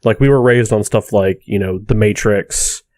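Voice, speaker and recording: A man's voice: fairly low pitch, moderate pace, darkish tone, no echo, clean studio sound. A young man chatting casually and wryly as a podcast co-host. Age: young adult.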